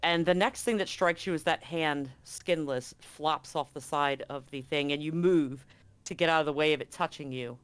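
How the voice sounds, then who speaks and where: A game master narrating a tabletop horror game in a dramatic, suspenseful way, with deliberate pauses. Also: medium pitch, a bit bright, clean tone, no echo.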